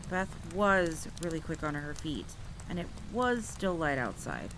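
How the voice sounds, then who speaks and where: A woman's voice: low voice, sing-song, a little slow. A woman performing a scripted first-person campfire tale in a dramatic, suspenseful storytelling manner. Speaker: audio roleplay performer and storyteller. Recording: clear background noise of rain and thunder. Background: rain, rolling thunder and outdoor ambience.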